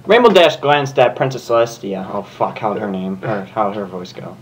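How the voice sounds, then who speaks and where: A man casually reading a story aloud for comedy, halting, swearing and giggling. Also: lowish voice, expressive, a little hiss or hum. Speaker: co-reader of a fan fiction reading show.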